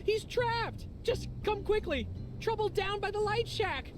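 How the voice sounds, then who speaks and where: A very high-pitched, melodramatic townsperson from a video game. The delivery is histrionic and alarmed, with slow, drawn-out exclamations of pious dread. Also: animated, crowd noise. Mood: panicked. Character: panicked, melodramatic townsman devoted to the light.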